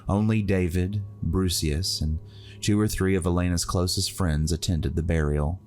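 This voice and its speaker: A man's voice: low-pitched, fairly even intonation, normal speed, darkish tone. A man narrating a scripted fantasy story, with plucked guitar music playing behind him.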